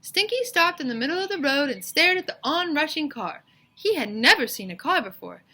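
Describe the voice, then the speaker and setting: A woman's voice: high-pitched, big pitch swings, fairly quick, pushed and bright, pitched above the performer's own voice, exaggerated voice. A woman voicing a kindly, encouraging female schoolteacher in a children's storybook. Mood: storytelling. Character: kindly female schoolteacher reading to her class.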